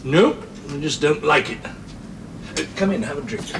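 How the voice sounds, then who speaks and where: Measured British man, noisy soundtrack. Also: fairly high pitch, expressive. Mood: uneasy, understated. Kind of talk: 1970s British television drama acting. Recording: noisy 1970s television soundtrack.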